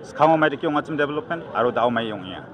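A man's voice: medium pitch, animated, rapid-fire. A middle-aged man with a Northeast Indian accent, speaking as a political candidate in an argumentative, emphatic press interview. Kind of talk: press interview, mixing in English. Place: outdoors at an evening gathering. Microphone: handheld news microphones held close. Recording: busy outdoor crowd behind voice. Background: crowd noise.

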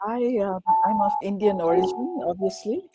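A middle-aged woman raised in Rwanda with French as her first language, talking softly and hesitantly as a guest on a video call. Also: mid-range voice, moderate pace, highs cut off, loud music behind the voice. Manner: soft-spoken, hesitant with many ums. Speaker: guest, visual artist. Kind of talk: casual video call interview. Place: home room indoors. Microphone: earphones on video call.

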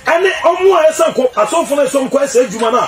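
A man's voice: extremely high pitch, expressive, a little fast. A man in informal studio banter, repeating his words in a stammering way.

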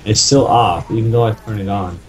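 A man's voice: fairly low pitch, steady tone, brisk, darkish tone, low-quality recording. A young man chatting casually while playing a game with friends, joking crudely and swearing a lot. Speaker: gamer recording with friends. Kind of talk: casual gaming chat.

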